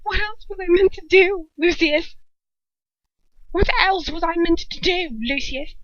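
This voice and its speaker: A woman playing a jealous, spurned witch in an audiobook-style story, pleading and raging in shrill, scornful outbursts. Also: measured pace, dry recording. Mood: defensive. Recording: dull lo-fi home recording.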